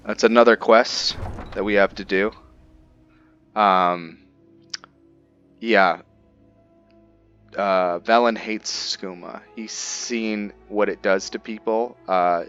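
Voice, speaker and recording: A man with a very deep voice talks slowly through his roleplay game, halting as he thinks aloud. The sound is slightly muffled, with game music behind him. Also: steady tone, fairly bright tone, clear, resonant tone. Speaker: gaming video host. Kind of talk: casual roleplay commentary over gameplay.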